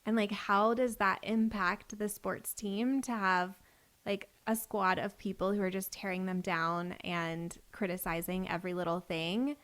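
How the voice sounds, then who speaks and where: A woman's voice: medium pitch, fairly even intonation, measured pace, a bit bright. Chatty woman podcast host. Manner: chatty, frequent "like" fillers. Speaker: podcast host and business coach. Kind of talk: casual conversation.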